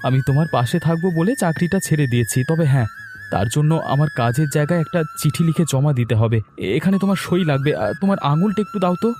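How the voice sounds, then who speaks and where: A man's voice: on the high side, expressive, brisk, darkish tone, faint music behind, lightly acted. A man playing a young, quarrelsome and resentful village son in a cartoon moral story, with a pushy, argumentative manner. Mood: coaxing.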